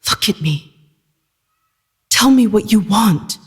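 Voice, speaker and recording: A man's voice: high voice, lively intonation, takes it slowly, husky, full character voice. A man voicing a defiant young businesswoman. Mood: pleading defiance. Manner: assertive, challenging. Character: defiant young female junior associate, sharp and bold. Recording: long reverb, music behind.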